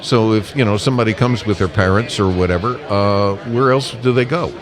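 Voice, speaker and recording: A man's voice: on the low side, a little fast. A man being interviewed as a public relations manager, answering conversationally in an enthusiastic, talkative, emphatic way. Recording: live event with loud crowd behind. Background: busy crowd at a convention.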